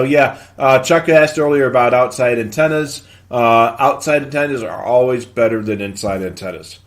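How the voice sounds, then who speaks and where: Man hosting a casual, halting tech chat. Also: medium pitch. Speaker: live show host. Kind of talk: casual live tech advice, answering viewer questions.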